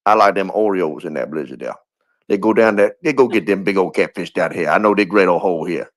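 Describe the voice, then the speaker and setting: A man's voice: deep voice, fast, close to an everyday voice. A man putting on a drawling Cajun good old boy. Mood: folksy. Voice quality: thick Cajun drawl put on.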